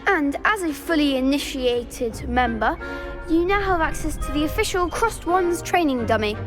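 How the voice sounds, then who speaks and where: A young woman playing a confident schoolgirl who runs a dueling club in a fantasy game, chirpy and brisk. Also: high-pitched, animated, music in the background. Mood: eager, confident. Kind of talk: scripted video game acting.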